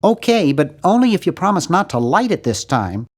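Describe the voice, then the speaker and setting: A man's voice: sits high, sing-song, normal speed, a little mellow. A man voicing a nerdy, timid, fussy young hero of a cartoon adventure game, with a prim, wry, bookish delivery. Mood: polite, curious. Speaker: game character voice actor.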